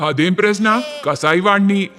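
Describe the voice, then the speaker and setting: Brusque, high-voiced village butcher acted by a man. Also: moderate pace, sharp tone. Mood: scornful. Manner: scoffing, blunt, incredulous. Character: brusque, money-minded male village butcher. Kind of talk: dramatised moral story cartoon voice acting. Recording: long reverb, music underneath.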